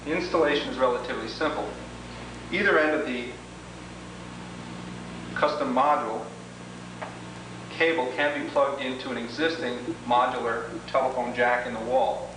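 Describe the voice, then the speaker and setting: A man presenting an instructional training tape as a product demonstrator, methodically going through each step in formal wording. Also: medium pitch. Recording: echoey, noisy, distant 1980s homemade video.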